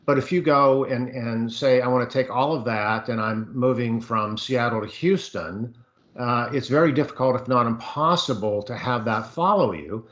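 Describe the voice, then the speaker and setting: A man on a virtual panel, his voice in an ordinary middle register. He talks expansively, thinking aloud and filling pauses with small fillers as he builds his point over a video call. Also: mid-range voice, a bit bright, dull audio.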